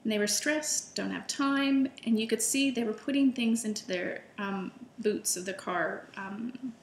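A woman's voice: fairly high pitch, a little slow, roomy sound, slight background noise. A middle-aged woman telling a personal story to camera in a chatty, enthusiastic way, with fillers and restarts. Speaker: illustrator and food vlogger.

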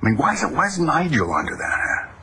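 An actor playing a gruff, bewildered middle-aged rancher. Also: male voice, on the high side, sing-song, fast talker, noisy background. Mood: puzzled.

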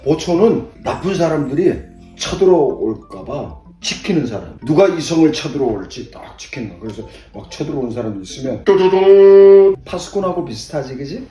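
Animated older grandfather storyteller, roomy echo. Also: fairly high pitch, moderate pace, lo-fi sound. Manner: animated, conversational, explaining simply for children. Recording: roomy echo, distant mic, faint music.

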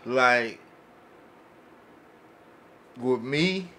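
A man chatting casually as a podcast panelist, in halting, trailing phrases. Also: medium pitch, expressive, bright, forceful tone. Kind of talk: casual chat. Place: small podcast room. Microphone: studio mic on boom arm.

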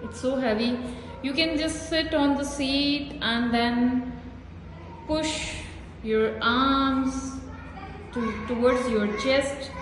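A woman vlogger giving a slow, simple walk-through of a small indoor gym, filming on her phone and pointing out each machine. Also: fairly high pitch, noisy background. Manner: simple repetitive pointing-out explanations. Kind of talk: casual gym tour vlog. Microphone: phone held while filming. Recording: echoey room with hall reverb.

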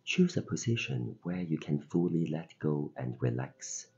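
Man, extremely low pitch, husky mellow tone, gentle meditation guide, deliberate pauses, slightly muffled recording. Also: animated. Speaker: meditation guide and podcast host.

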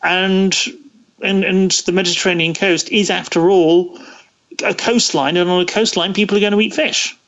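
Guy with a highish voice on a podcast, kinda halting and rambly explaining stuff, muffled lo-fi sound. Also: fairly even intonation, fairly bright tone. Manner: halting, discursive, with false starts. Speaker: interview guest. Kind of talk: explanatory interview conversation.

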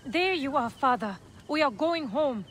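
Casting: a wary, determined daughter, lightly raised pitch. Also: female voice, animated, unhurried, a bit bright, a little hiss or hum. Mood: grieving. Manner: guarded, resolute. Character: grieving, stubborn young farm woman.

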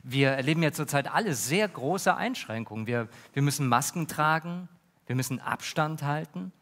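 Man acting talk-show host, thirties, slightly high voice, formal, earnest, mock interview, hall reverb. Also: expressive, moderate pace, a bit bright. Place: large brick-walled indoor stage.

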